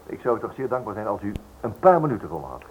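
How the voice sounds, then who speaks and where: A polite nosy writer in a radio play, lowish voice that swings around, keeps stumbling, bit of hiss. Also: male voice, big pitch swings, racing. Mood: polite, hesitant. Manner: hesitant, polite, stammering over words. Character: inquisitive, hesitant writer probing a criminal case. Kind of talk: Dutch radio drama acting. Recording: noisy old radio drama recording.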